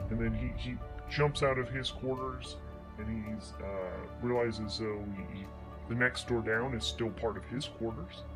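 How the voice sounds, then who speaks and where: A man narrating an improvised tabletop game story as solo game master, halting and often pausing to search for words. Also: mid-range voice. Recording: music playing under the voice. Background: prominent instrumental music.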